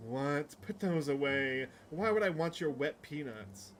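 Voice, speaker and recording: A man's voice: a little high, animated, measured pace, fairly bright tone, a little hiss or hum. A man voicing an annoyed, icy young woman, curt and dismissive, in a visual novel game. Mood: annoyed, impatient. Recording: music playing behind the voice.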